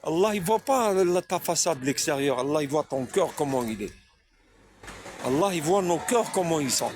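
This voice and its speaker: A man from France speaks in a slightly high voice, delivering an unscripted religious monologue straight to his viewers. He preaches, circles back on his points and keeps asking rhetorical questions. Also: expressive, normal speed, a little mellow, soft background music.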